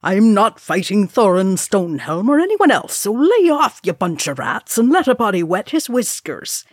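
A woman's voice: medium pitch, very animated, moderate pace, a little mellow, no room sound, studio quality, pitched above the performer's own voice. A woman voicing a young male dwarf warrior in a storybook reading, hearty, bragging and teasing. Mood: merry, teasing.

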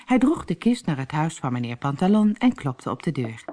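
A woman reading a fairy tale for an audiobook in expressive, dramatic scripted narration. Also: sits low, normal speed, slight background noise.

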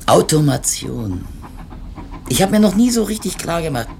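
An actor playing an earnest, wordy and evasive publishing editor in a 1970s East German radio drama. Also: male voice, a little high, very animated, moderate pace, creaky, darkish tone, slight background noise. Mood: rueful, reflective. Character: conscientious male publishing editor, talkative, evasive, self-reproachful.

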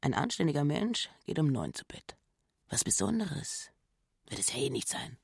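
An actress playing an anxious, suspicious old housekeeper who mutters her thoughts in a husky, half-whispered voice. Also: sits low, big pitch swings, normal speed, no echo, studio quality, exaggerated voice. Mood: fretful. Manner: fretful grumbling inner monologue. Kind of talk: German radio play acting.

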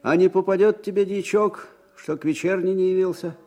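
An actor playing an old, disgraced field marshal, proud and wistful, in a folksy, musing manner for a radio drama. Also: male voice, sits high, steady tone, a little fast, darkish tone, soft background music. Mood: wry, gentle. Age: older. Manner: folksy, musing, swinging from murmurs to ringing declamation. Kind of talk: Soviet radio drama acting.

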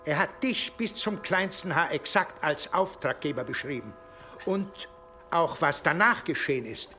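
An actor in a radio play playing a powerful medieval archbishop, accusing and mocking in a deliberate manner. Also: male voice, fairly high pitch, lively intonation, bright, forceful tone, soft background music. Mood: cold, triumphant.

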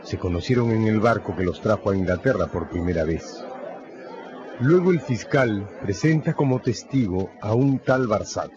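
A man narrating a radio novel in a scripted, dramatic storytelling style with measured phrasing. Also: fairly low pitch, expressive, muffled recording, a crowd in the background.

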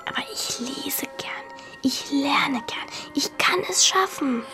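A woman playing a bright, eager, stubborn seven-year-old medieval girl in a German radio play, earnest and precise. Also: sits high, animated, slow, whispered, over music. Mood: hushed pleading.

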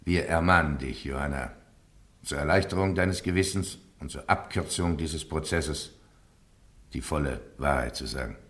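An actor playing a stern bishop presiding over a heresy trial, speaking in a solemn, formal, commanding way. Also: male voice, rumbling low, normal speed, fairly bright tone, reverberant. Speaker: presiding judge.

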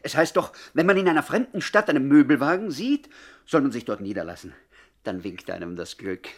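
An actor playing a timid, superstitious petty crook in a radio play, hesitant, stammering and very polite. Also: male voice, medium pitch, animated, a little fast. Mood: eager, polite. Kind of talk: 1960s German radio play acting.

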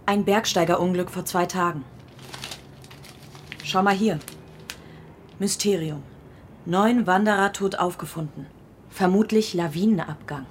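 A woman's voice: fairly low pitch, measured pace, long pauses, a little hiss or hum, natural voice. An actress playing a cool, insistent woman client in a hardboiled radio drama, terse and unflappable. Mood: matter-of-fact.

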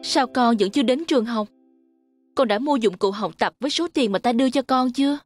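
A woman narrator voicing a gentle but firm motherly fairy in a children's storybook cartoon. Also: on the high side, brisk, soft background music, close to an everyday voice. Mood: stern.

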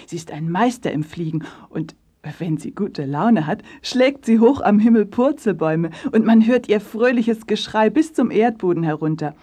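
Mellow, husky female children's storyteller. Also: deep voice. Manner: addresses children directly, measured storytelling. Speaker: storyteller narrator. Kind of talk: scripted children's story narration.

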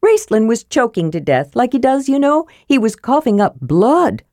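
A woman voicing a small, cheerful, innocent male kender in a fantasy storybook, shrill and eagerly rambling. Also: very animated, normal speed, mellow, no room sound, studio quality. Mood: proud, eager. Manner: rambling, eager, breathlessly enthusiastic.

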